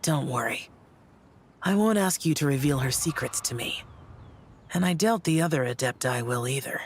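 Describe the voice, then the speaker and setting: An actor playing a gentle, perceptive, caring companion in a game, considerate and soft-spoken. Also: medium pitch, lively intonation, moderate pace, slight background noise, close to an everyday voice. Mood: reassuring. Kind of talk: scripted game voice acting.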